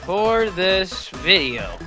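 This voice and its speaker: A woman's voice: fairly low pitch, very animated, drawn-out words, over music. A woman hosting a casual reaction video, exclamatory and prone to swearing.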